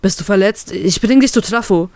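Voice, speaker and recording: A woman's voice: medium pitch, a little fast, darkish tone, no echo, close to an everyday voice. A woman reading the lines of a protective young man in a plain, firm and concerned way, close to her own voice.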